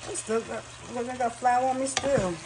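A chatty woman with a slightly high voice walks her viewers through a recipe at her home stove. She talks casually and calls them y'all. The recording picks up clear kitchen noise and sizzling food from a camera on the counter. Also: fairly even intonation, normal speed. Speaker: home cook vlogger. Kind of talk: casual cooking demonstration. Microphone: camera on a counter nearby.

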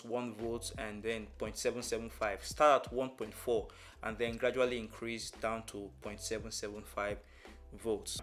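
A young man presenting an instructional tutorial in a measured, step-by-step manner. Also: mid-range voice, a bit bright. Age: young adult. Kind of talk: instructional explanation to camera. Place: small furnished room indoors.

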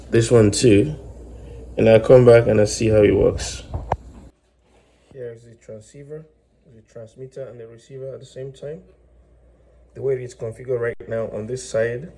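A man explaining step by step in a halting way, as host of a hands-on electronics tutorial. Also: mid-range voice, warm tone. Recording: clear background noise with clicks.

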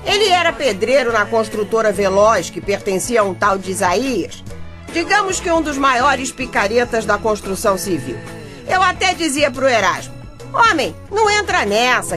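An actress playing an outspoken, gossipy, exasperated wife with a Brazilian accent, narrating a radio soap in a chatty way. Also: female voice, a little high, fairly bright tone. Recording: music playing behind the voice.

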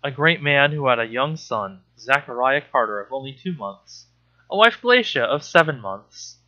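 A man's voice: medium pitch, expressive, normal speed, sharp tone, lo-fi sound. A man reading aloud and commenting off the cuff as a host, sarcastic and incredulous, with exasperated, profane asides.

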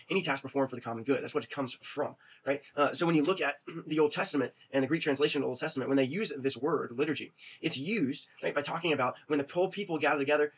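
Fast-talking man lecturing by webcam. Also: medium pitch, slightly rough, rough recording. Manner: quick, conversational, frequent "right?" check-ins. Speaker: religion teacher. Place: small indoor room. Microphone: webcam at desk.

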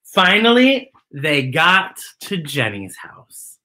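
A middle-aged American man hosting a children's storytime, reading aloud in a theatrical, exclamatory way with drawn-out emphasis. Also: fairly high pitch, sing-song, sharp tone, poor audio. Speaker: host and storyteller of a children's show.

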